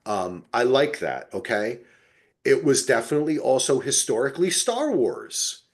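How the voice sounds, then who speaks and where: A man's voice: mid-range voice, very animated, moderate pace. A man co-hosting a podcast, chatting casually and emphatically as an enthusiastic fan, with plenty of swearing. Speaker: podcast co-host. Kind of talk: casual fan chat about TV.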